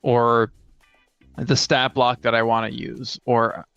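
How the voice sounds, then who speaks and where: Smooth, even-toned man explaining casually. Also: medium pitch, slow, dry recording, lo-fi sound, faint music behind. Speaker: podcast co-host and game master. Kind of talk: casual conversational chat.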